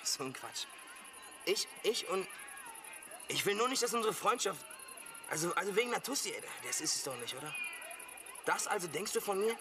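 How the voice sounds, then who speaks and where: A man's voice: high-pitched, sing-song, moderate pace, long pauses, over music. An actor playing a cocky, hot-headed teenage boy in a German audio drama, brash and goading. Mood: teasing, defensive.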